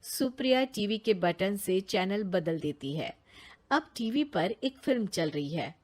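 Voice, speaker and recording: A woman narrates a cartoon storybook in an even, matter-of-fact tone. Her voice sits at an average pitch for a woman. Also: a little slow.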